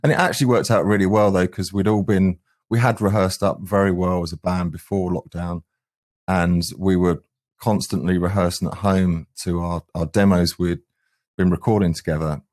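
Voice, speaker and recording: Deep-voiced man. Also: fairly even intonation, normal speed, a little mellow. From England. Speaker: band frontman, singer and guitarist. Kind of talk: conversational interview answers.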